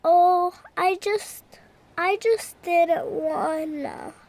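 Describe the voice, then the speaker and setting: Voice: steady tone, a little mellow, no room sound, exaggerated voice. A performer voicing a timid, anxious little child puppet in a kids' show, childlike and hesitant. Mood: hesitant, glum. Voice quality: high, childlike. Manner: slow, hesitant speech.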